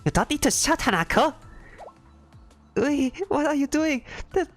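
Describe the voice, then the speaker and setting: A streamer voicing a flustered, easily scandalised young girl in a shrill, exclaiming way. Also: expressive, unhurried. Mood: alarmed, scolding. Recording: background music under the voice.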